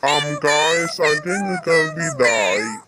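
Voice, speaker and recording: A woman's voice: low voice, lively intonation, takes it slowly, heavy background noise. A woman voicing a bossy, urgent cartoon character in an amateur animation, commanding and exclamatory. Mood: eager.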